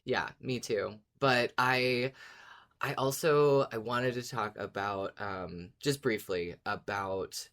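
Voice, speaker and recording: A co-host with a medium-pitched voice talks casually about a favourite subject. The delivery is enthusiastic and emphatic, with words often repeated for stress. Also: slow, fairly bright tone. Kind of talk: casual fan discussion.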